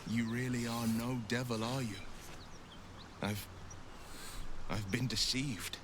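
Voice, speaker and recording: An actor as a proud monster hunter weighed down by penitence, from a fantasy video game. His mid-range voice is formal and eloquent, with a theatrical turn. There is clear noise in the background. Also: mid-range voice, steady tone, slow pace, close to an everyday voice. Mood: dismayed. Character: proud, penitent heroic monster-hunting warlock man. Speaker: video game companion character. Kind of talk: dramatic video game voice acting.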